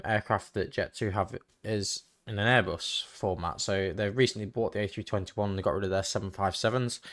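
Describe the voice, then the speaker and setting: Low-voiced man giving a tutorial. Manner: informal, hesitant, thinking aloud. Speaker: tutorial host. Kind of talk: casual screen-recorded software walkthrough. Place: indoors, voice-over for screen recording.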